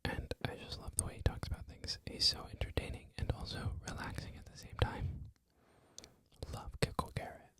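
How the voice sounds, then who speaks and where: An ASMR creator whispering a loose, rambling talk, full of "like" and "um" fillers. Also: brisk. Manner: soft whisper throughout, frequent "like" and "um" fillers.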